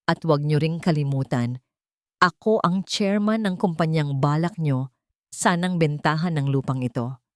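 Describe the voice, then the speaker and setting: A woman voicing a commanding rich businessman in an audio story, firm and deliberate. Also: low voice, no echo, studio quality, natural voice. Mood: cold, stern. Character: cold, commanding wealthy businessman avenging an old woman.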